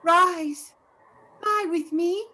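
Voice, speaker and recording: An actress playing a solemn, commanding Ghost of Christmas Past in a radio play, with a formal, deliberate delivery. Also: female voice, very high-pitched, bright, forceful tone, exaggerated voice.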